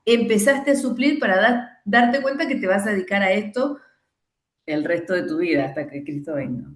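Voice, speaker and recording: A woman's voice: mid-range voice, normal speed, echoey room. A middle-aged woman from Argentina with an Argentine accent, leading a training talk and emphatically acting out imagined excuses and prayers. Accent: Argentine Spanish. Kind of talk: teaching conversation.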